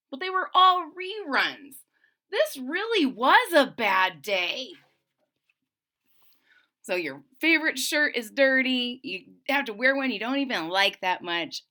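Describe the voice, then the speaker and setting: Bright, animated woman reading aloud. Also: on the high side. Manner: expressive, chatty asides between pages. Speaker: storybook reader. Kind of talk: reading a picture book aloud to children.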